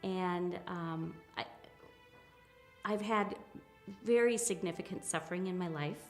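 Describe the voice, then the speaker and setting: A woman in her fifties speaks with a low, gravelly voice. She talks hesitantly and softly, pausing and restarting as she reflects on her own story. Faint music plays underneath. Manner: hesitant and soft, with pauses and restarts. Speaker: interviewee, spiritual practitioner. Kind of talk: reflective personal account.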